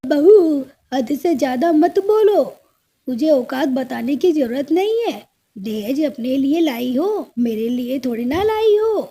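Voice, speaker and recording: A woman's voice: high voice, animated, slow pace, warm tone, exaggerated voice. A woman voicing a put-upon old crow mother-in-law in a cartoon, complaining and indignant. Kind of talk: cartoon story dialogue.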